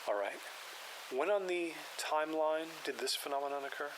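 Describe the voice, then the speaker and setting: A man playing a calm, skeptical paranormal investigator in an audio drama, explaining in a measured, clinical way. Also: a little high, natural voice. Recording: hiss and rain noise.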